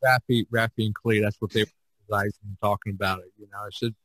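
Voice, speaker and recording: A man's voice: lowish voice, level tone, quick pace, fairly bright tone, dry recording. A man hosting a casual unscripted podcast, talking in a rambling way and repeating his phrases. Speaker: podcast host.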